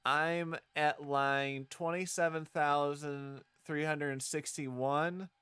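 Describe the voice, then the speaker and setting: A man chatting casually on a livestream as he shows his own project, explaining things in a halting, rambling way. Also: a little high, fairly even intonation, sharp tone, clean tone. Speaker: streamer showing own visual novel.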